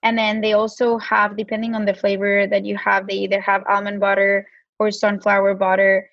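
A woman's voice: medium pitch, monotone, a little fast, gravelly, no room sound, dull audio. A woman with a Mexican accent, a guest on a podcast, talking casually and filling her speech with "like". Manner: chatty, many "like" fillers. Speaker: guest, brand co-founder.

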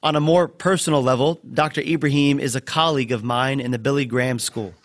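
A man hosting a podcast interview, introducing his guest and asking questions in a warm, friendly way. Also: mid-range voice, fairly even intonation, fairly quick, dry recording. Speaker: podcast host.

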